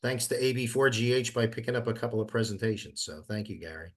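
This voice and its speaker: A man with a lowish voice talks casually on a conference call. He is chatty and a bit halting, often doubling back over his words. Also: lively intonation. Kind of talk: casual meeting chat. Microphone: teleconference call.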